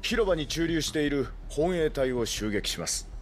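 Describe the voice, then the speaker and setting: A man's voice: fairly high pitch, lively intonation, normal speed, a little hiss or hum, close to an everyday voice. A man playing a loyal resistance knight in a Japanese video game, speaking formally with deference and earnest conviction. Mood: businesslike.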